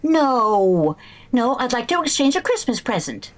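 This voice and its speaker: A woman playing a flustered, scheming housewife in old-time radio comedy, expressive and exclaiming. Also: fairly high pitch, unhurried. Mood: prim, impatient.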